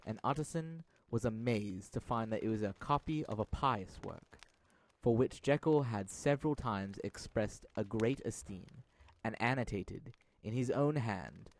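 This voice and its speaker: A man's voice: lowish voice, measured pace, poor audio. A young man reading scripted dramatic narration of a classic tale, as narrator of an audio drama. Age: young adult.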